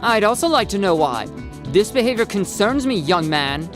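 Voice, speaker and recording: Give this a stern mother as a narrator acts her out in an audio story. She is impatient and commanding, scolding teenagers in a raised, animated pitch. Music plays behind her. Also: natural voice. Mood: stern, suspicious. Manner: brisk, commanding, sharp questioning.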